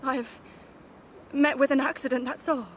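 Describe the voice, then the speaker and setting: Distressed, mysterious young Englishwoman acted by a woman, high-voiced. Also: fairly even intonation, moderate pace, a little hiss or hum, close to an everyday voice. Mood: nervous, pleading. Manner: hesitant, intense, guarded. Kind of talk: period audio drama acting.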